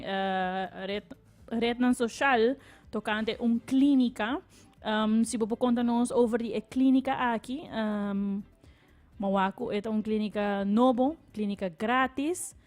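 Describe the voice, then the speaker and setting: Halting radio host woman. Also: a little high, fairly even intonation, a little mellow. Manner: halting, many fillers, switching languages. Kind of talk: radio interview.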